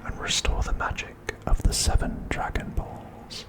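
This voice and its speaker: A man hosting an ASMR video, speaking in a hushed, deliberate whisper. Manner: hushed, slow, deliberate delivery.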